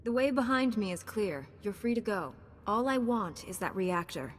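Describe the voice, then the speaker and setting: A woman's voice: medium pitch, lively intonation, moderate pace, close to an everyday voice. A woman playing a composed, resolute knight heroine in a sci-fi video game, speaking directly. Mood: firm. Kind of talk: video game cutscene acting. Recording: long reverb, faint background noise.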